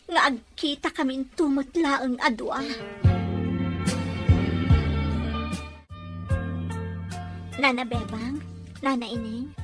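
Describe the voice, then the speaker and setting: A woman's voice: high voice, fairly bright tone. A woman acting a sorrowful young woman leaving home in a Filipino audio drama. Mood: sorrowful farewell.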